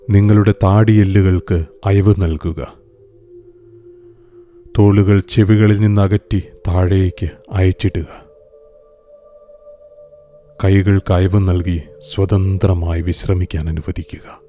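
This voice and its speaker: A man guiding a scripted meditation in a calm, soothing, gentle manner, pausing deliberately between phrases. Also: sits low. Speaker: meditation guide. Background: music.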